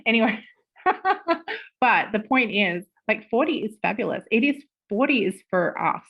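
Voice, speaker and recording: A woman in her forties, a podcast guest, chatting casually with lively laughter and the odd swear word. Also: a little high, unhurried, dull audio. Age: 40s. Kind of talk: casual interview chat.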